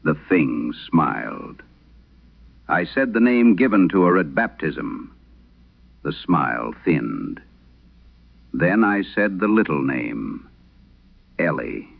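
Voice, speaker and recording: A man performs an aging exorcist priest for a horror radio play, with a very low voice full of gravel. He confesses in grave, literary, measured phrases that swing widely in pitch, haunted and intense. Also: big pitch swings, phone-quality sound, exaggerated voice. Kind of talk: dramatic horror radio monologue.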